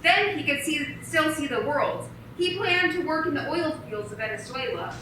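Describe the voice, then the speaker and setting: A woman narrates a radio play, telling the story plainly. Her voice is high and bright. It sounds distant and very echoey. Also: lively intonation, moderate pace, heavy background noise. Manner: storytelling, explaining. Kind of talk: scripted narration.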